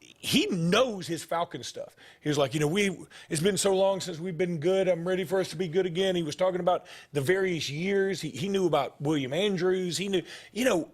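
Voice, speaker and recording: A middle-aged man, hosting a sports talk show and rambling through stories in casual chat. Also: husky. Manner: rambling storytelling, jumps in pitch when animated.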